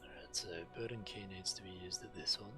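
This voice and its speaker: A man's voice: on the low side, fairly even intonation, fast talker. A man giving casual commentary while he plays a video game, with music behind him. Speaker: game streamer.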